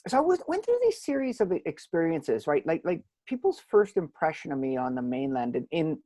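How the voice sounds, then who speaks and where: A man's voice: on the high side, sing-song, brisk, warm tone, dry recording, clean studio sound. A middle-aged man from Hawaii, a law professor answering interview questions thoughtfully, with frequent restarts and rhetorical asides.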